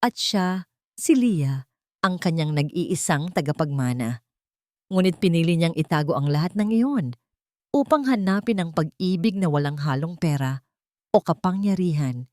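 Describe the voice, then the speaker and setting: A woman's voice: lowish voice, lively intonation, moderate pace, dark tone, dry recording, studio quality. A woman reading a dramatic scripted love story as its narrator.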